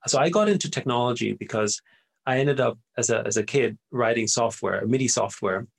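A man's voice: medium pitch, fairly quick, creaky, slightly rough. A middle-aged man from Austria, a native German speaker, talking as an interview guest in a rambling way, often tagging his sentences with "right?". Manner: rambling, tags sentences with "right?", frequent fillers and restarts. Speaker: guest entrepreneur. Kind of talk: casual interview talk.

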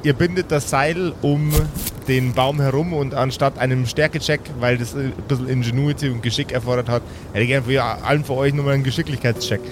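A man's voice: steady tone, brisk. A man acting as game master, narrating an improvised tabletop game in a casual way. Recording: clear rumbling ambient noise behind.

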